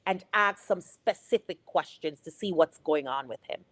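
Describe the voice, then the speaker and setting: A woman's voice: fairly low pitch, normal speed, fairly bright tone, no room sound. Encouraging woman giving immigration advice. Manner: encouraging, affectionate, emphatic. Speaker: immigration advisor on a call-in show. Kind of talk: advice on a live call-in show.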